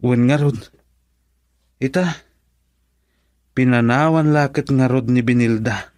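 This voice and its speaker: A man's voice: medium pitch, steady tone, takes it slowly, a little mellow, no room sound, studio quality, natural voice. A man acting in an Ilocano radio drama as a frank friend, speaking in an emphatic, questioning way. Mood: frank discussion.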